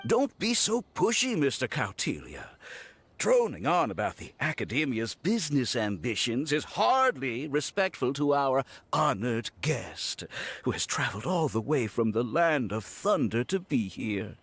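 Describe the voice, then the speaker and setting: A man's voice: medium pitch, sing-song, a little slow, a bit bright, husky, dry recording, exaggerated voice. A man playing a suave, courteous wealthy businessman in a video game, with polished, deferential, formal speech. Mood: polite reproach.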